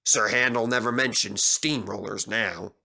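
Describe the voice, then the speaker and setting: A man's voice: on the low side, unhurried, gravelly, pushed and bright. A man reading a scripted children's story as narrator.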